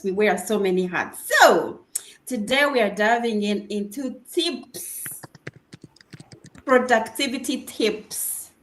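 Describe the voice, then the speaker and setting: A woman hosting a livestream in an upbeat, welcoming style, with hesitant pauses as she goes. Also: mid-range voice. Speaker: livestream host. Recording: roomy, echoing livestream audio.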